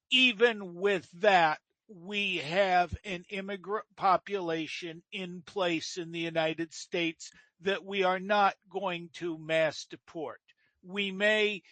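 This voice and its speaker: A man's voice: sits high, fairly bright tone, no room sound. A man on a podcast speaking carefully and qualifying his points in casual political chat. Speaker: podcast host.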